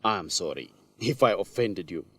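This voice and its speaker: Young student reporter, earnest, polite, inquisitive, very varied pitch, radio drama, dry recording. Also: male voice, animated, moderate pace, a bit bright, dry recording, exaggerated voice. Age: young adult. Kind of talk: radio drama dialogue for English learners.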